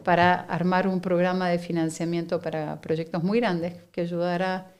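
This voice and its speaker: A middle-aged woman from Argentina with an Argentine Spanish accent, a guest speaking in a measured, reflective interview manner. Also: lowish voice, flat delivery, a little mellow. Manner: reflective, measured, with frequent fillers and laughs. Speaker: guest, company director. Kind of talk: conversational interview.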